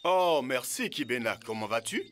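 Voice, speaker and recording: Lively old village man. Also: mid-range voice, moderate pace. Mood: surprised, genial. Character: kindly old village man with surplus fruit. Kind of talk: animated educational cartoon dialogue.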